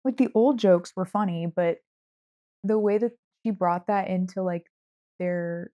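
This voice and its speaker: A woman's voice: fairly low pitch, unhurried, lo-fi sound. A woman co-hosting a podcast, talking casually and conversationally with plenty of "like" sprinkled in. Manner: conversational, heavy use of "like". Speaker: podcast co-host. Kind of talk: casual book chat.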